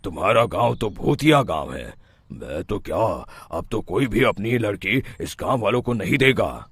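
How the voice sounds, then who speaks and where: A man voicing a gruff, stern older village man in a children's cartoon, speaking in a commanding, declamatory way. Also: lowish voice, animated, moderate pace, fairly bright tone, no room sound, exaggerated voice. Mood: stern, dismissive. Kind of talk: children's cartoon story acting.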